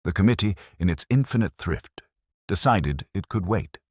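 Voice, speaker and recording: Man reading as grave, gentle inspector, extremely deep. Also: animated, normal speed, no room sound, studio quality. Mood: quietly stern. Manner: gentle, quietly firm, formal. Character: calm, grave, measured male police inspector. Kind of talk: dramatised detective story dialogue.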